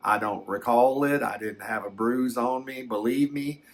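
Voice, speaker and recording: An older man, telling a personal story in a halting, reflective, folksy way. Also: medium pitch. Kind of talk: slow first-person storytelling.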